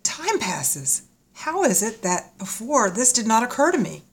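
A woman acts a primeval woman in an audio drama, her voice fairly high. She is intent, bold and questioning, with formal phrasing. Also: normal speed, natural voice. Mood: hushed wonder.

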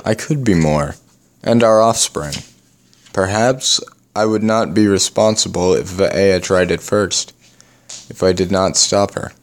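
Guy playing a wavering early human in an audio drama, lowish voice, earnest, kinda defensive n uneasy. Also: a little slow. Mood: tempted.

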